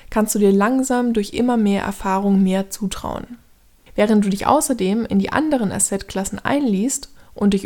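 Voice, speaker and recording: A woman's voice: medium pitch, a little mellow. A woman aged thirty giving scripted explanatory narration as a podcast host, instructing the listener directly in a structured way.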